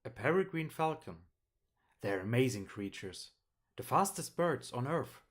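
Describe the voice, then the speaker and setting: A man's voice: medium pitch, sing-song, measured pace. A man playing a casual, mild middle-aged father in an audiobook, speaking in an understated way. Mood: casual, mildly amazed. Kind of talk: audiobook character acting.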